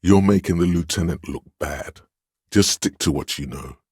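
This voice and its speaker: An actor playing a commanding, domineering inner voice of authority in a video game, speaking with a very deep, resonant bass in a measured, imperious manner. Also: male voice, a little mellow, slightly rough, no echo, studio quality. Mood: stern, scolding. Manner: imperious, measured, scolding and goading. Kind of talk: dramatic game narration, inner-voice lines.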